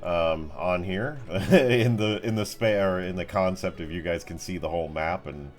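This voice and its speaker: A man's voice: deep voice, moderate pace, soft background music. A man running a tabletop game as game master, talking casually in a rambling, hesitant way as he improvises and rephrases.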